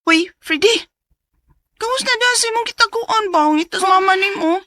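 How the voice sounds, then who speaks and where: A woman's voice: extremely high pitch, expressive, normal speed, bright, forceful tone, dry recording, clean studio sound, heavily acted. A woman playing a young single mother who earnestly tells her story in a radio drama, with a Cebuano accent. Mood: sheepish. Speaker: letter sender narrating her story.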